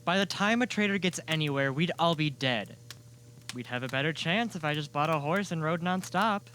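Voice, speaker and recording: A man playing a high-pitched, headstrong young man. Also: lively intonation, normal speed, pushed and bright, a little hiss or hum. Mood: insistent. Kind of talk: amateur audio drama acting.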